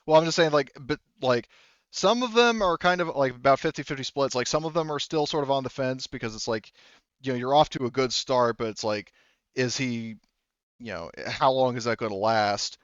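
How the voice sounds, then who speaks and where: A man's voice: medium pitch, brisk, clean tone, no echo, lo-fi sound. A man casually improvising narration as a tabletop game master, conversational and thinking aloud as he goes.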